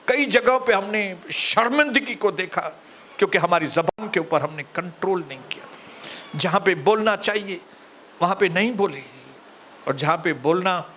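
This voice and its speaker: A male pastor preaching a sermon, calling out to the congregation and later praying softly. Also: slight background noise. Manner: preaching, rising to shouted calls to the congregation; soft, low, slow in prayer. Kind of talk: sermon and closing prayer.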